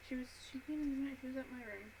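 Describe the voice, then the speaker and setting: A young woman in casual chat with a friend on a stream, dropping dry, deadpan quips. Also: high voice, racing, noisy background. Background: loud background music.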